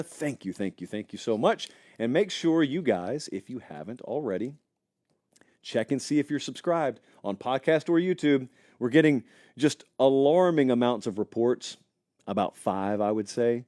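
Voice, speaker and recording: A man hosts a live sports talk show, his pitch swinging up and down as he muses and argues points with viewers. His voice is warm and mellow, recorded dry and close in a studio. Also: moderate pace, no room sound. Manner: animated, conversational, speculative, addresses live chat. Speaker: college football show host.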